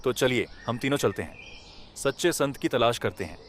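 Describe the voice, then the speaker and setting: A man voicing a kind, humble gentleman in a cartoon fable. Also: mid-range voice, animated, moderate pace, a little hiss or hum, natural voice. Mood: sympathetic. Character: kind, humble village gentleman who helps animals.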